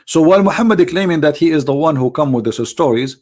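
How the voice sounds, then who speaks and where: A man's voice: a little high, lively intonation, fast talker, darkish tone. Man arguing emphatically in a monologue. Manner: emphatic, repetitive, rhetorical questions; non-native English phrasing. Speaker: religious video host. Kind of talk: argumentative monologue to camera.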